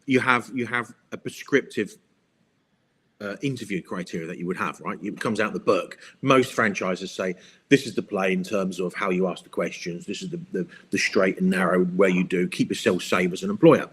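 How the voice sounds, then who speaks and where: Husky low-voiced man. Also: moderate pace, fairly bright tone. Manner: rambling, repeats words while thinking. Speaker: guest. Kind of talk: interview answers. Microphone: video call.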